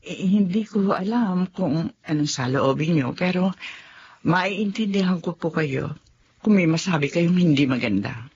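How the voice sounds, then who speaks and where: A woman playing a calm, polite widowed principal. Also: on the low side, a little slow, husky, highs cut off, full character voice. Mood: earnest. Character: widowed woman principal, humble, devoted lover. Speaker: school principal. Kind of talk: radio drama acting.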